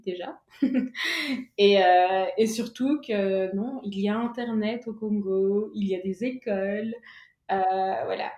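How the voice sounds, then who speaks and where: A woman's voice: mid-range voice, a little mellow. A woman in her thirties hosting a casual chat, speaking in a frank, playful and slangy way. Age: 30s. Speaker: host, interviewer. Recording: roomy, echoing sound.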